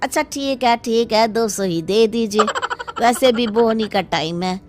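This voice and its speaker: A woman voicing a persistent, wheedling male market shopkeeper in an animated story. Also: a little high, measured pace, music in the background, voice pushed down, lightly acted. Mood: resigned. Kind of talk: animated moral story dialogue.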